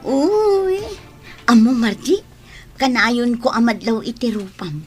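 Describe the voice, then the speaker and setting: A Filipino actress playing a teasing, nosy young barmaid friend in a radio drama. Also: female voice, fairly high pitch, big pitch swings, takes it slowly, breathy edge, faint music behind, exaggerated voice. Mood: playful, prying. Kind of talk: Ilocano radio drama acting.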